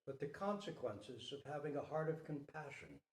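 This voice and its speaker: A man speaking as a storyteller and teacher, with a theatrical, measured delivery. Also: fairly high pitch, expressive. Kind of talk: dramatic story reading and spoken teaching. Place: talk at a Zen center retreat. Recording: roomy echo, distant microphone.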